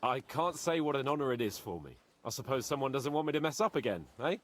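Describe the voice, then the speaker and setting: A man's voice: medium pitch, lively intonation, quick pace, a bit bright, lightly acted. An actor playing a proud, skeptical young nobleman in a game cutscene, sardonic and mock-polite. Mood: sarcastic, proud. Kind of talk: video game cutscene acting.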